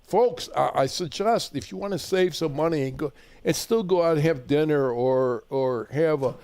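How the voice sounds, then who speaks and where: Chicago-accented male radio host, chatty and rambling, slightly high voice, dry close studio mic. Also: moderate pace, a little mellow, clean tone, no room sound. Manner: chatty, rambling, repeats words when starting. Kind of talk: live radio call-in chat.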